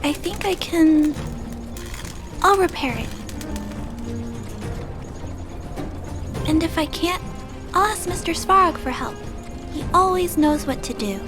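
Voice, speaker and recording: A woman playing a gentle, compassionate little girl in a video game, hesitant and soft-hearted as she speaks. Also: very high voice, a little slow, long pauses. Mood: thoughtful, sad. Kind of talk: video game character acting. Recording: music under the voice.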